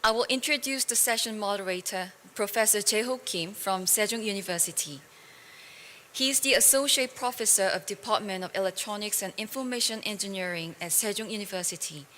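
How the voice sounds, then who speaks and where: Bright-voiced woman announcer in a hall. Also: medium pitch, a little fast. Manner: formal, read-out delivery. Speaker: event announcer. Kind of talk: formal scripted introduction. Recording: reverberant hall with faint ambient noise.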